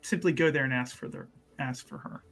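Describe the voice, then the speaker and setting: A man's voice: mid-range voice, big pitch swings, a little fast, gravelly, fairly bright tone, full character voice. A man playing a scheming, self-important nobleman-like character in a tabletop game, with formal, silky persuasion. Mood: persuasive, scheming.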